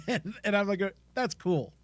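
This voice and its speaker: A man co-hosting a podcast in casual chat, excitable and rambling as he talks. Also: high voice, measured pace, no echo. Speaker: podcast co-host.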